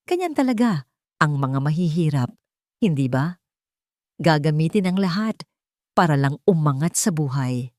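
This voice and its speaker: A woman's voice: low voice, sing-song, measured pace, pauses often, a little mellow, dry recording. Lady doing a snooty older rich mother, sly n scornful, insinuating, audio love drama, dry close sound. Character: haughty older matriarch, sly and scornful toward the poor.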